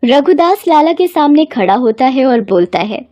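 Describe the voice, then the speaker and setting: A woman's voice: high voice, lively intonation, normal speed, warm tone, no echo, studio quality. A woman narrating a scripted moral bedtime story as its storyteller.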